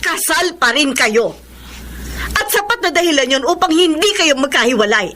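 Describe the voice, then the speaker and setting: A woman's voice: high voice, animated, moderate pace, pushed and bright. An actress playing a devout, disapproving Filipina mother in a radio drama, emphatic and moralizing with a Filipino accent. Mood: stern, preaching. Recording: faint background noise.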